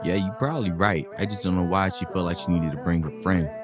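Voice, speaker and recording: A low-pitched, cocky guy, played by a man in an audio drama, boastful yet wary and skeptical. His talk is slangy and conversational, with music clearly audible behind it. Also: quick pace, natural voice. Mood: doubtful, ribbing. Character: guarded, cocky, skeptical single guy playing pool.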